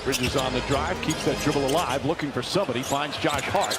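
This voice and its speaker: A man's voice: mid-range voice, fairly quick, loud music behind the voice. A man doing live basketball play-by-play commentary in clipped phrases. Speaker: play-by-play announcer. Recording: loud arena crowd and noise.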